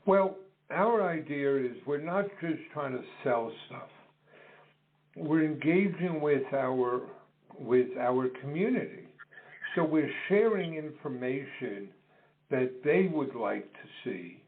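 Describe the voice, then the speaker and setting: A middle-aged or older man, explaining his business in an interview in measured, deliberate phrases. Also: fairly high pitch, lively intonation. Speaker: business co-founder being interviewed. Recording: dull phone-like call audio.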